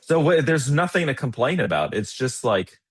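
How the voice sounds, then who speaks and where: A man with a husky, mid-pitched voice, recorded close and dry. He co-hosts a casual film chat, thinking aloud in slangy, conversational phrases. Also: sing-song, moderate pace, dry recording. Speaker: movie podcast co-host.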